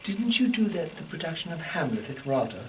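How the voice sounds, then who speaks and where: A man's voice: a little high, very animated, fairly quick, recorded from a distance, heavy background noise. A man, a voice actor, trading jokes with colleagues between recording takes in a playful, theatrical manner. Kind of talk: joking studio banter between takes.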